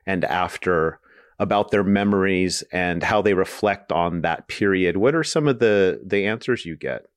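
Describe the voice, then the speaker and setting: A man's voice: low voice, normal speed, clear, resonant tone, no echo, clean studio sound. A male interviewer asking questions with some hesitation and restarts.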